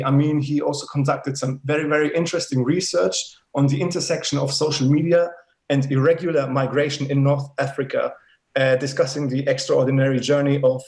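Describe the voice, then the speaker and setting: Webcast host in an echoey room. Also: male voice, medium pitch, flat delivery, fairly quick, darkish tone. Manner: friendly, repeats words like very. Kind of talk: casual webcast introduction.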